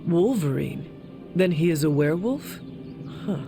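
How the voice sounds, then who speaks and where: A man playing a guarded, blunt monster hunter who speaks formally and deliberately, deadpan, in a video game drama. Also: on the high side, over music. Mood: puzzled, guarded.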